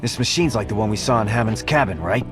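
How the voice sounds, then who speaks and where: Loud music backs a low-pitched game sidekick, voiced by a man, a mutant scavenger. He is uneasy and wisecracking, grumbling in loose everyday speech. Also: expressive, fast talker, natural voice. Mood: wry. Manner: colloquial, questioning, grumbling. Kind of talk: video game character acting.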